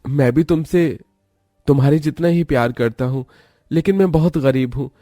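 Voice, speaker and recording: Humble poor young guy, earnest, slightly high voice, a man acting it; dry read for a cartoon story. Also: normal speed, dark tone, no echo, faint music behind, close to an everyday voice. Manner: earnest, apologetic.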